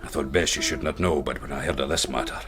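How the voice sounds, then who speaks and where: Very deep Scottish soldier. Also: male voice, steady tone, fast talker, noisy background. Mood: brisk, confiding. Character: bold, commanding fugitive soldier, shrewd and theatrical. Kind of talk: period radio drama acting.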